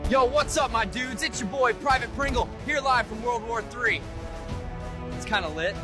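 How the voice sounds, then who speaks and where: A man's voice: extremely high pitch, moderate pace. A man voicing a young soldier vlogging from a war zone in laid-back slang. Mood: chill. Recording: voice buried in blaring music.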